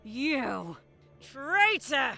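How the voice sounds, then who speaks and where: Commanding female commissar for war audio drama actress: fierce, declamatory, slow-paced, swinging widely in pitch. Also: big pitch swings, gravelly, soft background music, heavily acted. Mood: furious. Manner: forceful, declamatory.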